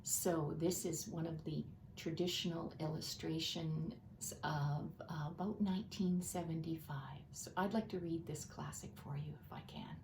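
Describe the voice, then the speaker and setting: Female storyteller for poetry read-aloud: mellow, deliberate, dramatic pauses; distant mic with faint noise. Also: low-pitched, steady tone. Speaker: reader, storyteller. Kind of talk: expressive read-aloud of a classic Christmas poem.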